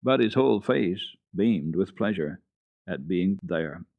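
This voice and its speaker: Low-voiced man reading a children's story. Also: creaky, no room sound, narrow, tinny audio. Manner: unhurried, expressive reading with occasional hesitations. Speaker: storyteller on a children's radio program. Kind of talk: dramatic reading of a children's book.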